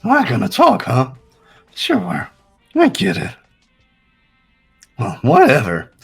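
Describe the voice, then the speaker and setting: An actor voicing a mischievous, lewd robotic teddy bear from a video game, with a raspy, gravelly voice and a teasing, theatrical manner. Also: medium pitch, wildly swooping pitch, slow, soft background music, heavily acted. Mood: gleeful taunting. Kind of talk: cartoonish video game character acting.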